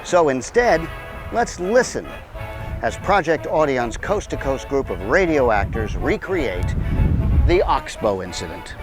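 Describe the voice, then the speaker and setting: Animated American male host over music. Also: medium pitch, a little slow, low-quality recording. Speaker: show host and announcer. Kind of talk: scripted radio-style introduction.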